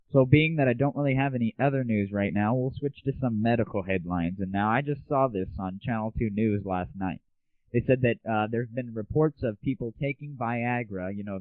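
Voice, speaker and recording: A man's voice: lowish voice, brisk, no echo. Tinny, irreverent young American man ranting. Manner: irreverent, opinionated, slangy. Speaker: host. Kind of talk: casual solo gaming news and comedy rant. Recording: tinny, dry, phone-like narrow audio.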